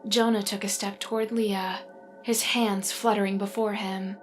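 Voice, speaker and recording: A woman's voice: mid-range voice, little pitch movement, unhurried. Woman narrating a dramatic story over music.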